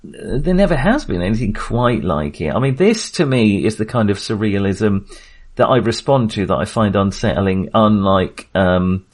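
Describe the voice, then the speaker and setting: A man's voice: fairly low pitch, animated, moderate pace. A man talking casually as a film podcast host, in a hesitant, rambling but enthusiastic way.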